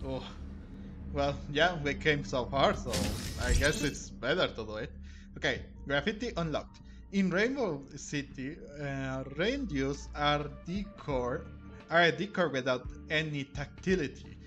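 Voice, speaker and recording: A male gamer with a fairly high, very animated voice narrates his playthrough. He reads on-screen text in a halting, stumbling way and reacts to it, with game music clearly behind him. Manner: halting, stumbling read-aloud. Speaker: gaming streamer. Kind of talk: let's-play, reading game text aloud with reactions. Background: video game music.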